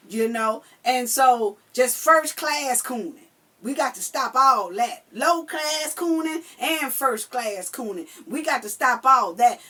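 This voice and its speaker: A woman with a high voice talks slowly and deliberately through a commentary monologue. She is blunt and profane, hammers the same phrases again and again, and sometimes raises her voice to drive a point home. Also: bright, forceful tone. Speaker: video commentator replying to another video.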